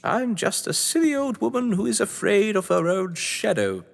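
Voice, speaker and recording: A man's voice: high voice, expressive, moderate pace, vocal fry, voice pushed up. A man voicing a timid, silly old woman, fretting and cooing to a cat in an audio drama. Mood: fearful, cooing. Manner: fretful, cooing. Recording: long hall-like reverb.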